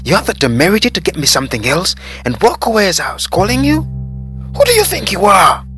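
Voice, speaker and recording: A man's voice: lowish voice, huge pitch swings, normal speed, gravelly, over music, exaggerated voice. A man voicing an angry, bitter young female student in a dramatic story reading. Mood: furious, loud.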